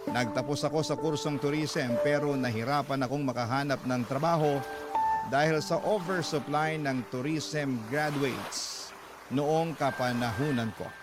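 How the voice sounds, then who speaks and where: A male narrator reading a listener's letter in first person, in an earnest scripted narration style. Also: medium pitch.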